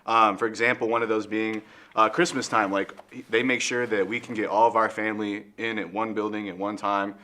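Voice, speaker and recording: A man's voice: on the low side, little pitch movement, a little fast, sharp tone. A young man answering interview questions in a rambling, casual way, full of "like" and "you know.".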